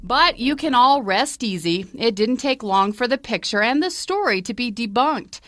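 A woman reading a TV news report in a crisp, scripted way. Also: on the high side, lively intonation, normal speed, a bit bright, no echo.

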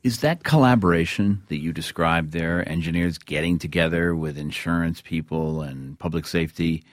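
Low-voiced male interviewer, dry studio sound. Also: moderate pace, no echo. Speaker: radio interviewer, host. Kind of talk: conversational interview questioning.

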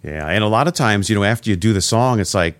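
A man's voice: lowish voice, animated, quick pace, no echo. A middle-aged man hosting a radio interview, chatting casually and rambling through nostalgic stories. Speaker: radio host.

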